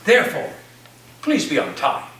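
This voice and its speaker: A man's voice: a little high, huge pitch swings, normal speed, a bit bright. A man playing an eccentric, grandiose college professor and dreamy storyteller in a theatrical staged reading, with a dramatic delivery. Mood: grandly welcoming. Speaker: actor in a staged play reading. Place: echoey room at a live staged reading. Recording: roomy, distant mic, faint noise.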